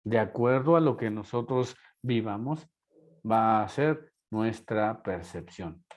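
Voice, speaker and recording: A man's voice: fairly low pitch, lively intonation, slow. A man with a Mexican accent, lecturing a class and asking rhetorical questions. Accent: Mexican Spanish. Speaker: teacher.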